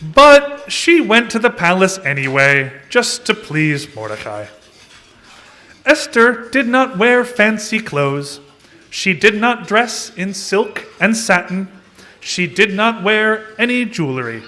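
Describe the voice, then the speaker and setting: A man narrating a children's puppet show in deliberate, expressive storybook style. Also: high voice.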